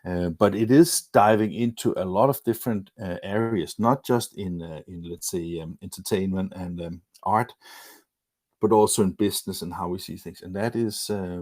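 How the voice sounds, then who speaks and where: A man with a Danish accent, presenting a webinar lecture in English and often pausing with fillers and restarts. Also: low-pitched, darkish tone, no echo, clean studio sound. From Denmark. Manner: hesitant, many fillers and restarts. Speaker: webinar presenter, magazine editor.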